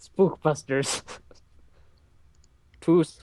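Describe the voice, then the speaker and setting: Slow, halting man, fairly high voice. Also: fairly even intonation, darkish tone, a little hiss or hum. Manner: halting, repeats himself. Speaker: player in a tabletop role-playing group. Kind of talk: casual group game chat.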